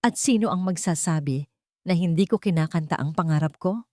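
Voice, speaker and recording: A wounded young mother-to-be in an audio drama, voiced by a woman narrator. She is earnest and determined, with quiet defiance in a slightly low voice. The sound is close and dry. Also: a little fast, no room sound, natural voice. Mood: defiant resolve.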